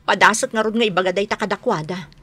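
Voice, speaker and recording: A bright-voiced, protective grown daughter. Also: female voice, medium pitch, fast talker, breathy edge. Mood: hurt, worried. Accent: Ilocano. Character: indignant adult daughter, protective of her elderly parents. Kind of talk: Filipino radio drama acting.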